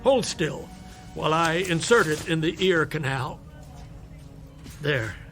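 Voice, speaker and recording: High-voiced, sardonic hospital doctor. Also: male voice, expressive, slow pace, a bit bright, soft background music, full character voice. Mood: smooth, reassuring. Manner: dry, sardonic, insistent. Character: brisk, wry, persuasive hospital doctor. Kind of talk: radio drama acting.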